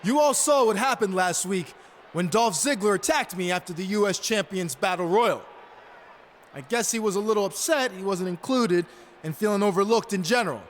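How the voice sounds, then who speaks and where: Man acting as mocking champion wrestler, high pitch, taunting promo delivery, game cutscene, faint background noise. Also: normal speed, lightly acted. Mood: sarcastic. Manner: sarcastic, taunting. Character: cocky, mocking young male champion wrestler.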